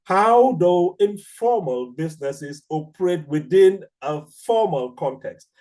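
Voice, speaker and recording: Mellow Cameroonian man lecturing. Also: a little high. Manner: measured, deliberate, explanatory with rhetorical questions. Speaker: academic researcher, seminar speaker. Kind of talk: academic lecture.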